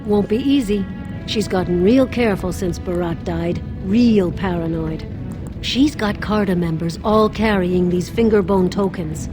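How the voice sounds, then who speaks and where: A woman's voice: mid-range voice, measured pace, over music, lightly acted, low-quality recording. An actress playing a wily, crippled old beggar woman with a wheedling, streetwise manner in a fantasy game. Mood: wary, knowing.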